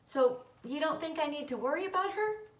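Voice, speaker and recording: A woman's voice: sits high, lively intonation, brisk, fairly bright tone, pitched above the performer's own voice. A woman reading a children's book, voicing a worried, nervous mother with an anxious, questioning manner. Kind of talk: children's book read-aloud. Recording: roomy echo, distant microphone.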